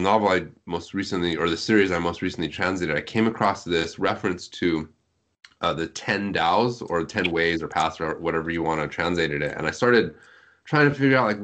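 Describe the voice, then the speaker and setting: Man, 30s, low-pitched, self-deprecating podcast guest talking through his work informally. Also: fairly bright tone. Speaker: guest, literary translator. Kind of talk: casual podcast discussion. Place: at home on an internet call.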